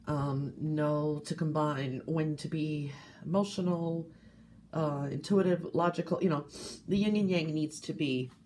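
A woman giving a weekly coffee cup reading in a gentle, casual way, often checking in with "okay?". She is congested from a cold and sniffles. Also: lowish voice, a little hiss or hum. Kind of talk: casual tarot-style reading to camera.

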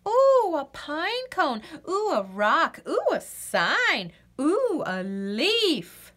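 A woman voicing a chatty, excitable, easily distracted young female bird in a storybook, gushing and rambling with drawn-out exclamations. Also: high voice, voice pushed up, heavily acted. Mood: delighted. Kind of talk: storybook read-aloud.

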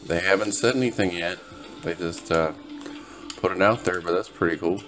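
A man's voice: low voice, normal speed, over music. A tired, laid-back man giving casual, rambling gameplay commentary to his viewers as host of a gaming video. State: tired, just woke up.

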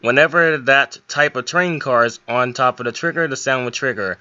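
A man's voice: medium pitch, normal speed, pushed and bright, clean tone, no echo, muffled recording. A young man giving an informal, step-by-step screen tutorial with a somewhat hesitant way of explaining. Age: young adult. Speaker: hobbyist game modder giving a tutorial.

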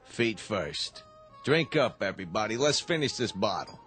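An actor playing a cocky, threatening mob boss in a radio drama with music underneath. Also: male voice, fairly low pitch, big pitch swings, normal speed, fairly bright tone. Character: menacing male mob boss, middle-aged.

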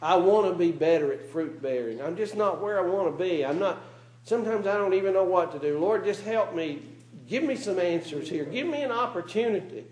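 A man's voice: high voice, lively intonation, fairly quick, a little mellow. A man preaching a sermon in a church, speaking earnestly and asking his congregation rhetorical questions. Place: church meeting room. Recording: roomy church echo.

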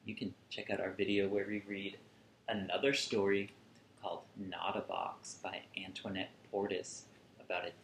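A man in his thirties reading a picture book aloud to young children, expressively, with drawn-out words, recorded indoors at a little distance from the mic. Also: deep voice, level tone. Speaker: children's museum storyteller. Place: small furnished room at home, indoors. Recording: roomy sound with faint background noise.

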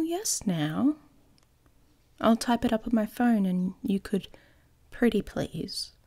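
A woman playing a smug, playful young werewolf pack leader in an intimate ASMR romance roleplay, teasing and often slipping into a breathy whisper. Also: medium pitch, warm tone. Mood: playful, scheming.

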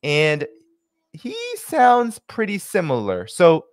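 Animated young man commentating on clips. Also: on the high side, slow, no echo, clean studio sound. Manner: animated, gesturing, many fillers. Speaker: host and commentator. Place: small home office. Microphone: studio mic with headphones.

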